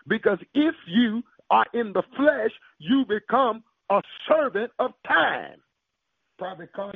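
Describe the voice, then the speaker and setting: A man preaching in a high, gravelly voice with a breathy, husky edge. He drives the sermon with call-and-response and recites scripture. The sound is dry and narrow, like a tinny radio broadcast. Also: dry recording.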